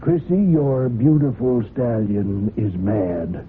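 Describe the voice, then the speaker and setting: An actor playing an older, paternal judge in a vintage radio drama, deliberate and gravely persistent as he admonishes. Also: on the low side, expressive. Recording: muffled, noisy old radio broadcast recording.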